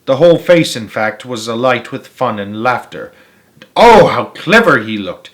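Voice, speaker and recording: Expressive man reading a children's story aloud. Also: mid-range voice, measured pace. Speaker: storybook narrator. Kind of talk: expressive read-aloud narration of a children's novel.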